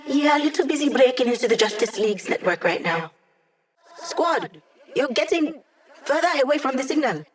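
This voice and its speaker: A woman's voice: high-pitched, animated, normal speed. An actress voicing a cocky, playful young female hacker who guides a squad in a video game, with a teasing, breezy manner. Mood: brisk, urgent. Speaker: hacker and mission support. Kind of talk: video game character voice lines.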